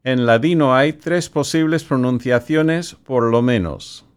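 Measured man hosting a podcast. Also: medium pitch, expressive, clear, resonant tone. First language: English. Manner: measured, announcer-like delivery. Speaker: podcast host and director. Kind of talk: scripted podcast presentation with sponsor reads.

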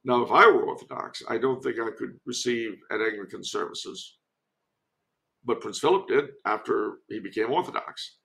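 Male podcast guest, American, gravelly creak, wry and emphatic opinionated talk over a remote call. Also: medium pitch, normal speed, a bit bright. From United States. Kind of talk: conversational opinion and commentary. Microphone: remote internet call.

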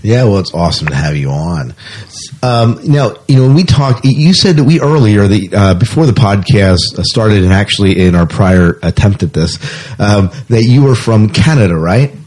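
A man's voice: lowish voice, expressive, moderate pace, a little mellow. A man hosting a podcast in casual conversation, often restarting his sentences as he goes. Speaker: podcast host. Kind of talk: casual podcast interview chat.

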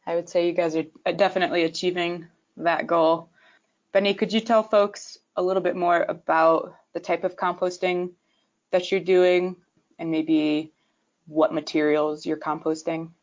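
A woman hosting a podcast, reading a scripted intro in a clear, measured way and then interviewing a guest. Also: on the low side, steady tone, highs cut off. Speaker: podcast host.

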